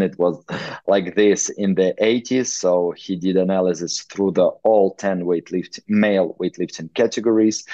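A man's voice: low voice, darkish tone, dry recording. Ukrainian-accented man lecturing over a video call. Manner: halting non-native English with many fillers. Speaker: guest lecturer, weightlifting coach. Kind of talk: online presentation lecture. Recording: dull lo-fi video call audio.

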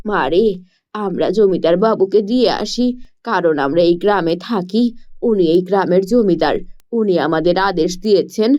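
A woman's voice: on the low side, normal speed, warm tone, muffled recording, pitched below the performer's own voice, close to an everyday voice. A woman voicing a poor, weary fisher parent in a cartoon story, explaining things in a patient, resigned way.

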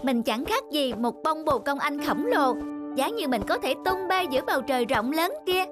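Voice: high-pitched, lively intonation, moderate pace, music in the background. An actor voicing a naive, restless, childlike little windmill fan in a cartoon, earnest and childish. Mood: longing. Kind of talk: cartoon acting.

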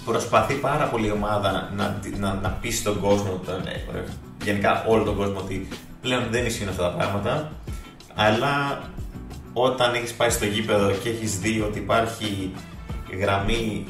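A man co-hosting a casual football podcast, talking in a halting way with many restarts. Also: on the low side, fairly even intonation. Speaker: podcast co-host. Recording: echoey room, far from mic, music bed.

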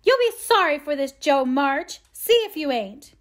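Little girl voiced by a woman, high voice, whiny, stubborn, coaxing, petulant, storybook character. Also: animated, fairly quick. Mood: aggravating, threatening.

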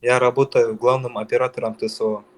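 A man's voice: mid-range voice, little pitch movement, fairly quick, a little hiss or hum. A young man from Dagestan who answers questions on a call, hesitant and getting defensive.

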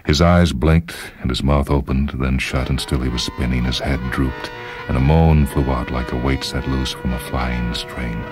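A man's voice: rumbling low, steady tone, normal speed. A man reading a short story as dramatic literary narration. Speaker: story reader. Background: music.

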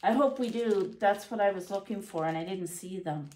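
A middle-aged woman from Canada chatting casually through her grocery haul in her home kitchen, reading prices aloud and thinking out loud. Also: medium pitch, moderate pace. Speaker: vlogger. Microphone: fixed camera at table.

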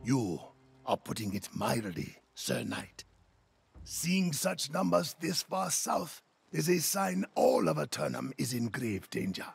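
An actor voicing a grave, wary fantasy game character, gravelly and raspy, who speaks formally and ominously. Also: male voice, fairly high pitch, very animated, takes it slowly, soft background music, heavily acted. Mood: grave, wry. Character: grave, worried, wary ally who fears spreading corruption.

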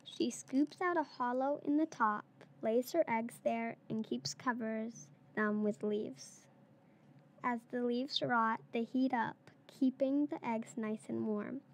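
A girl of about eight or nine, a third-grade pupil, reading a picture book aloud. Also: fairly high pitch. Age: child, around eight or nine.

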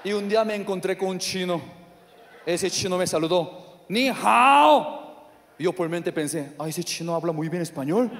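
A man from Korea speaking Spanish with a Korean accent as a non-native speaker, doing stand-up with halting phrasing, lots of fillers and sudden shouted outbursts. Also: high-pitched, slight background noise. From South Korea. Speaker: stand-up comedian. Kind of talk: stand-up comedy set. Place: large theater stage. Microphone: handheld mic. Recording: live hall reverb with audience.

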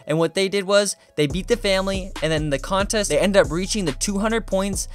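Upbeat high-voiced man narrating a countdown. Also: fairly quick. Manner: energetic, says "you guys" often. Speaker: narrator of a list video.

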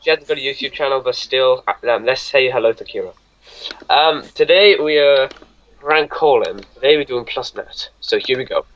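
Bright-voiced young male prank caller, muffled. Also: medium pitch, normal speed. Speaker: prank call host. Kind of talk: casual chat among friends. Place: indoors, on a video call. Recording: muffled online group call.

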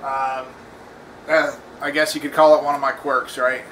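A man hosting a casual home cooking demo in his kitchen, talking in a relaxed, rambling way with lots of fillers and restarts. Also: mid-range voice, moderate pace, slight background noise, rough recording. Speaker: cooking channel host.

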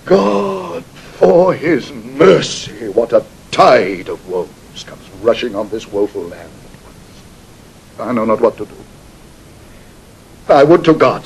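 A husky, careworn old duke. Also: male voice, on the high side, full character voice, poor audio. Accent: British. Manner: muttering, hesitant, breaking off in fluster. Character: careworn, aged royal duke, flustered and bewildered. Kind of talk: classical Shakespearean radio acting.

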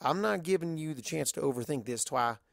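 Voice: mid-range voice, animated, fairly quick, vocal fry, no echo, clean studio sound. A narrator voicing a confident, plain-spoken pony mare who pushes and encourages a friend in a story. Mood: teasing, pushy. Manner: pushy, encouraging.

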